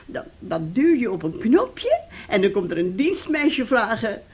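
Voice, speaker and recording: A woman's voice: on the high side, big pitch swings, a little slow. A middle-aged actress playing a bossy, scheming, self-pitying mother who coaxes and scolds in a radio play. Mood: persuasive.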